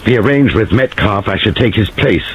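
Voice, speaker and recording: A man voicing a brisk middle-aged police inspector who talks in short, clipped bursts. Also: on the low side, animated, slight background noise, pitched above the performer's own voice, natural voice, low-quality recording. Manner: clipped, short explosive sentences.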